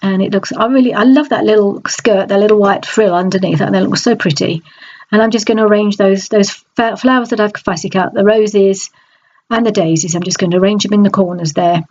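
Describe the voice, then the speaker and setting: A woman with a stuffy, nasal voice from a cold, chatting in a relaxed voice-over about a craft project, with many small restarts. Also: medium pitch, a little fast, lo-fi sound. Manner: chatty, hesitant, frequent restarts. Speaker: scrapbooking crafter. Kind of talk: process video voice-over.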